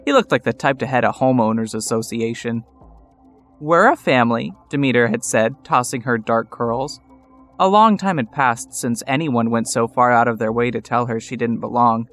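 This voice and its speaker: A man's voice: mid-range voice, normal speed, a bit bright. Wry male storyteller over music. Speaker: narrator. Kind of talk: scripted dramatic story narration. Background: music score.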